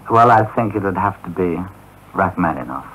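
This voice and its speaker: A man's voice: deep voice, animated, moderate pace, a bit bright, muffled recording, a little hiss or hum. A middle-aged man talking cheerfully as a radio guest, heard through an old off-air cassette recording. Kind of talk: radio interview.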